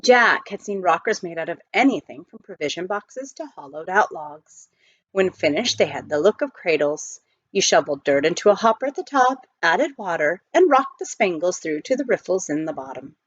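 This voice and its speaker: Expressive woman reading a storybook, dull recording. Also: fairly low pitch, moderate pace, no echo. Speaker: audiobook reader, teacher. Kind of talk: expressive storybook read-aloud.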